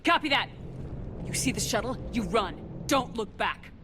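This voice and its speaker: A woman's voice: fairly high pitch, expressive, moderate pace, background noise, lightly acted. An actress playing a steely but compassionate female space commander in a video game, with a terse, authoritative manner. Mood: brisk, commanding. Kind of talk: cinematic video game dialogue.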